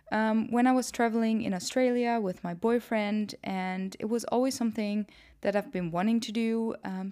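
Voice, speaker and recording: A woman's voice: medium pitch, darkish tone. Dutch girl in her twenties, rambly podcast chat, lots of ums. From Netherlands. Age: 20s. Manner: chatty, rambling with frequent ums. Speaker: podcast host. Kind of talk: casual solo podcast monologue.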